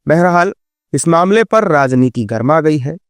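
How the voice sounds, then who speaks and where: A man's voice: on the high side, animated, a little slow, dry recording. A man reading a scripted news report as narrator.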